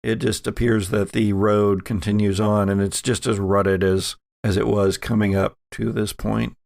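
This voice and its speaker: A man's voice: fairly low pitch, flat delivery, moderate pace, vocal fry, a little mellow, dry recording, clean studio sound. A man narrating a tabletop roleplaying game as game master in a conversational way.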